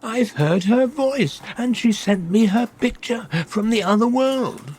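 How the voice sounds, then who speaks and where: An actor playing a gentle, earnest, grieving old widower with a creaky voice in a radio comedy. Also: male voice, very high-pitched, expressive, unhurried, heavily acted. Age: older, about 70s. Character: grieving, trusting elderly widower shopkeeper.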